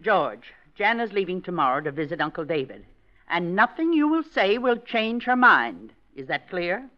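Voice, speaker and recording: A domineering, suspicious aunt. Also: female voice, lowish voice, very animated, normal speed. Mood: cold. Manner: cold, clipped and commanding. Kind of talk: 1940s radio acting. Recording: dull old radio recording.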